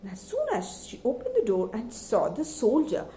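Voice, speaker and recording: A woman's voice: fairly high pitch, huge pitch swings, normal speed, warm tone, roomy sound, a little hiss or hum. A woman telling a story to children in a dramatic style, often putting questions to her listeners.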